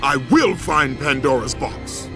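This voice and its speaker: A man playing a ruthless, vengeful Greek warrior in a video game, with a grim, forceful, declamatory delivery. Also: fairly high pitch, very animated, a little slow. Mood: defiant menace. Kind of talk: dramatic video game acting. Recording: loud music behind the voice.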